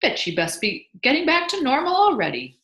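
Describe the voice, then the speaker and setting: A woman's voice: mid-range voice, sing-song, normal speed, pushed and bright. A woman voicing a blustering, cheerful and stubborn husband who exclaims, coaxes and turns indignant in a storybook. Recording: roomy echo.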